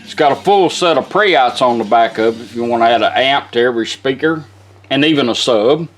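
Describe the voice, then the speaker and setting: A man casually demonstrating restored equipment in an informal, folksy, unhurried way. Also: medium pitch. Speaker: radio restorer demonstrating a product.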